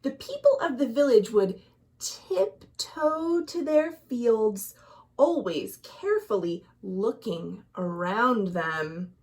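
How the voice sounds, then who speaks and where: A woman reading a children's story aloud in an expressive, dramatic way, as a storytime host. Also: on the high side. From United States. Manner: expressive, dramatic, deliberate delivery with hushed phrases. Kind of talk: animated picture-book storytelling for children.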